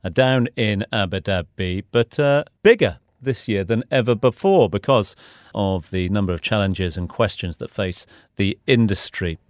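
A man's voice: on the low side, normal speed, no room sound, studio quality. A man hosting a business news podcast, introducing items in a conversational radio style. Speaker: podcast host.

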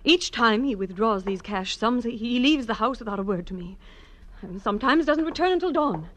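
A woman's voice: on the high side, expressive, fairly quick, fairly bright tone. A woman playing a refined, troubled young wife with a polite, hesitant manner in a 1940s radio drama. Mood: troubled, earnest. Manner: polite, hesitant, earnest, genteel. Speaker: client in a radio detective drama.

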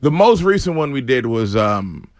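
Male comedian, animated storyteller, dry studio mic, middle pitch. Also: moderate pace, dry recording. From Boston. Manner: animated storyteller, laughs often. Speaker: comedian guest. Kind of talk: casual radio chat.

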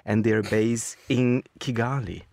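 A man hosting a weekly radio highlights show, chatting cheerfully with his co-host and introducing the next segment. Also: takes it slowly, gravelly, noisy background. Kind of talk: casual chat and scripted show links.

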